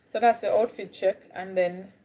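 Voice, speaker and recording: A woman's voice: mid-range voice, fairly even intonation, normal speed, dark tone, poor audio. A young woman with a Jamaican accent, chatting casually with viewers on a live stream. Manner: chatty, greets viewers, drifts between Caribbean accents. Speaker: livestream host.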